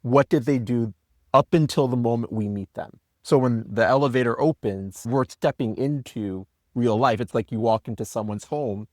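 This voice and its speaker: A man's voice: mid-range voice, moderate pace, no room sound, clean studio sound. A man speaking in an earnest, explanatory way in a conversational behind-the-scenes interview. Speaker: podcast guest, audio drama creator.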